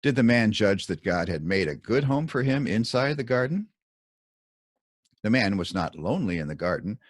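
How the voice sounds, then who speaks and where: A man reading his own scripted Bible commentary as narration, in measured, deliberate short phrases. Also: on the low side, sing-song, dry recording, studio quality.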